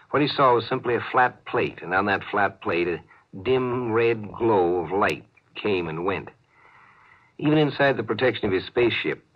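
Ominous 50s radio sci-fi narrator, lowish and measured, muffled old recording. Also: male voice, breathy edge. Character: grave, suspenseful male science-fiction storyteller. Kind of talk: dramatic scripted 1950s radio narration. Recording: dull, slightly noisy 1950s radio broadcast.

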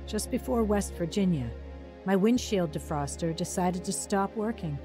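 A woman's voice: mid-range voice, animated, moderate pace, music in the background, close to an everyday voice. An actress narrating and playing a troubled young mother in a radio drama, close to tears and emotional. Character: troubled young mother leaving her husband. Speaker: narrator and lead character.

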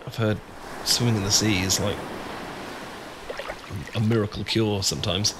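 A man's voice: fairly low pitch, steady tone, moderate pace, natural voice. A man with a British accent playing a shy, adorkable, flustered young man in love, stammering and hesitant in an ASMR boyfriend roleplay. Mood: shy, awkward. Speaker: audio roleplay performer. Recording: clear background ambience noise.

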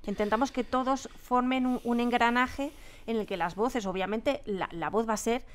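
A woman's voice: fairly high pitch, normal speed. A woman with a Spanish accent from Spain talking casually in an interview, explaining emphatically and repeating words as she searches for phrasing. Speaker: audio drama producer and sound designer, interview guest. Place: radio drama studio.

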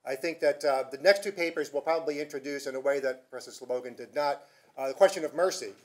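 A middle-aged man moderating a conference session at a podium, speaking in a measured, hesitant way with restarts. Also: fairly high pitch. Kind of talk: formal commentary and introduction. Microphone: podium microphone.

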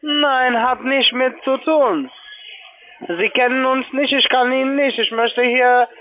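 A man with a very high voice speaks non-native German with a foreign accent over a narrow, tinny phone line. He works through a pushy sales call, putting questions to the customer. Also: slow, a little hiss or hum. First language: not German. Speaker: call-centre agent selling online trading.

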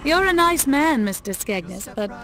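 An actress playing a poised, glamorous woman of high standing in a video game. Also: big pitch swings, normal speed, distant mic, background noise. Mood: coolly dismissive. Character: poised, dismissive glamorous mother of a tycoon.

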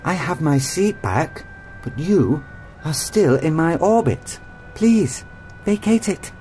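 A man's voice: fairly high pitch, expressive, slow pace, a little mellow, soft background music. An actor playing a haughty, condescending company chairman in an audio drama, with clipped, pompous delivery. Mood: sneering, smug. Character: haughty, rude, rich robotics company chairman.

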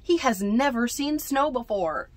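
A woman's voice: fairly high pitch, animated, unhurried, no echo, voice pushed up. A woman voicing an eager, friendly child playing in the snow in a picture book.

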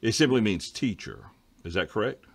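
A middle-aged man hosting a casual Bible study discussion over a video call, reading viewer questions and often clearing his throat. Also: lowish voice, animated, normal speed. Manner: conversational, frequent throat clearing. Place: home study with bookshelves.

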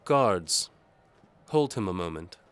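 A man's voice: low-pitched, expressive, slow, fairly bright tone, pitched above the performer's own voice, heavily acted. A man voicing a proud, scheming young noblewoman with a haughty, insistent manner in an audio drama. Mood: commanding.

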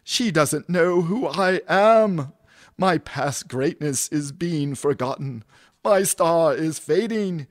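A man's voice: on the high side, animated, takes it slowly, no room sound, studio quality. A man playing a self-pitying, theatrical has-been stage actor in a comedy audio drama, declaiming like a mock-Shakespearean. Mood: indignant despair. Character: pompous, fading old-school stage actor, self-pitying.

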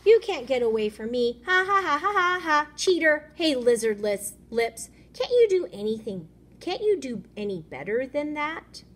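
Storybook taunter, played by a woman: high, loud, mocking sing-song, faint background noise. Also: sing-song, moderate pace, few pauses. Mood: taunting. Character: jeering fishing hooks hurling playground insults.

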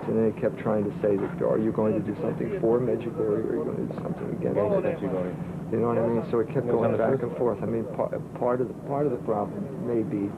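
A man's voice: on the low side, fairly even intonation, fast talker. A man, a journalist, speaking casually in an interview, with restarts as he talks, recorded outdoors in a town. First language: English. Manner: conversational, with restarts. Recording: phone-like band, loud street noise.

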